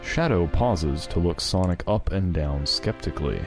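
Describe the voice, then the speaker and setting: Man, very low voice, lively intonation, story narrator, music behind. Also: moderate pace. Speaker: narrator of a fan fiction reading.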